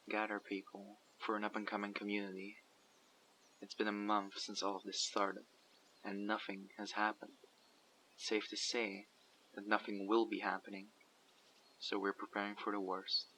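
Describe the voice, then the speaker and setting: A man voicing a guarded survivor leader who recruits people for a community, asking measured, probing questions in an audio roleplay. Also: fairly low pitch, monotone, pushed and bright, slight background noise, poor audio. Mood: calm, probing. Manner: measured, probing, matter-of-fact. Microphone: USB condenser microphone.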